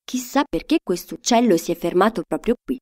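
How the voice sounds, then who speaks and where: A woman's voice: medium pitch, lively intonation, fairly quick, darkish tone, close to an everyday voice. A woman voicing a curious, lovestruck young prince in a children's storybook, in an earnest manner.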